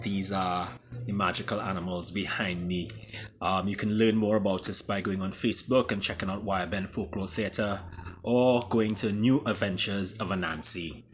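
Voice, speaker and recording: A man with a Trinidadian accent, an author and storyteller speaking theatrically, with booming emphasis and hushed drops. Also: steady tone, normal speed, a little hiss or hum. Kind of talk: dramatic storybook reading and introduction.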